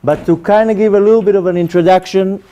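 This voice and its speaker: High-voiced Israeli man with a mellow tone. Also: steady tone, fast talker, muffled recording. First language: Hebrew. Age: 40s. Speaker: religious lecturer, rabbi. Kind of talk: personal testimony lecture to an audience.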